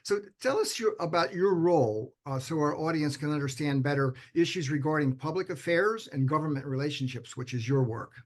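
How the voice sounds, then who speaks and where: A man's voice: a little high, brisk, hardly any pauses. A man moderating a webinar panel, stumbling and repeating words as he poses questions.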